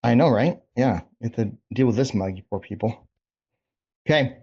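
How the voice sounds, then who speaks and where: A man's voice: medium pitch, moderate pace, a little mellow, slightly rough. A man hosting a casual group coaching session over a video call, informal and encouraging as he checks in with participants. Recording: dull, lo-fi video call audio.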